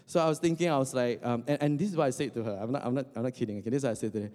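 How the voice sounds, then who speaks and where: A man's voice: medium pitch, rapid-fire, dark tone. Man preaching in a long reverberant hall. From Indonesia. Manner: joking asides, frequent fillers and restarts. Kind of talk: casual conversational sermon.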